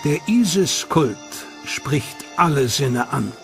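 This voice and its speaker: A man reading a scripted documentary narration in a measured, solemn way. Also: medium pitch, lively intonation, gravelly. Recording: narration over loud music.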